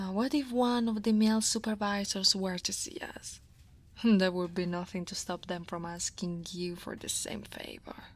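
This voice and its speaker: A woman with an Italian accent playing a guarded, workaholic dragon woman who is a senior coworker, in a soft, often whispered ASMR roleplay, wry and self-deprecating with sighs and soft laughs. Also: mid-range voice, fairly even intonation, unhurried, no echo. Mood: wistful. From Italy.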